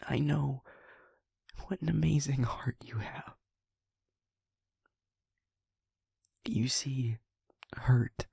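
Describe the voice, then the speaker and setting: A man acting a guilt-ridden, tender-hearted young man in an intimate audio drama, with a breathy, husky voice and a halting, stammering, earnest delivery. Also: medium pitch, dark tone, dry recording, heavily acted. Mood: soft, pleading. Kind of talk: intimate audio roleplay drama, emotional monologue.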